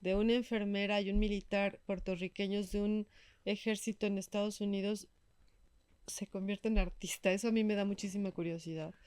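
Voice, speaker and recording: A woman's voice: medium pitch, steady tone, fairly quick, a little mellow, clean tone, no echo. A woman hosting a casual interview, asking curious, probing questions. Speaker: interviewer host.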